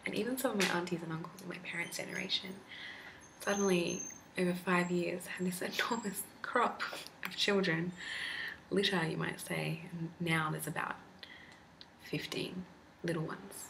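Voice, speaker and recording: Whispering woman with a gravelly edge. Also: fairly even intonation, moderate pace. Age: 20s. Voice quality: slightly deep whisper, not soft-spoken. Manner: rambling, chatty, laughs often. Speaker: ASMR creator. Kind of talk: whispered ASMR chat. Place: candlelit room at home. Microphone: laptop mic. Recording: grainy noisy laptop recording.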